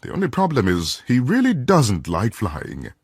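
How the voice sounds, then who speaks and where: A man's voice: medium pitch, wildly swooping pitch, moderate pace, slight background noise. A man reading a scripted introduction as the announcer of a radio drama. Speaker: programme announcer.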